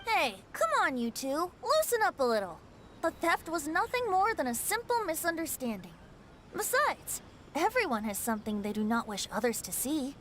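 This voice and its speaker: Easygoing game heroine, high voice, lively intonation, breezy, informal, reassuring, slight noise. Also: female voice, normal speed. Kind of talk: anime-style video game dialogue.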